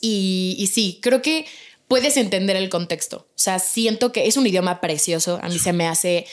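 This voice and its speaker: A young woman with Italian as her first language, chatting fast as an interview guest and filling her talk with repeated yeses and fillers. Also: mid-range voice. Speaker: podcast guest. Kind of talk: casual interview chat.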